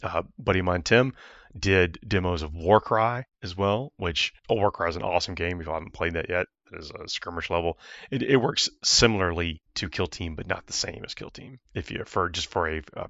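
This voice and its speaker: A man hosts a casual podcast in a deep, hoarse voice with a forceful, bright edge. He rambles with many restarts and fillers. The sound is dry and slightly dull. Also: dry recording. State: hoarse, ragged voice. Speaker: podcast host.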